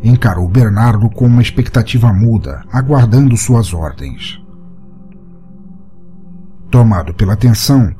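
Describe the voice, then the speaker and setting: A man narrating a scripted short story for a podcast in a measured, dramatic storytelling way. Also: medium pitch, dark tone. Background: music under the voice.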